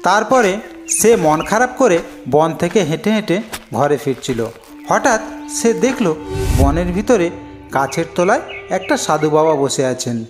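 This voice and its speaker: A man's voice: fairly high pitch, big pitch swings, a little slow. A man narrating a scripted fairy tale as a storyteller, with music playing behind him.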